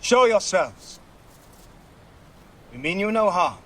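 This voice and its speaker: An actor playing a bold, wry Viking warrior leader in a video game, speaking in a commanding, declarative way. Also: male voice, high-pitched, very animated, takes it slowly, heavily acted. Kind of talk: dramatic video game acting.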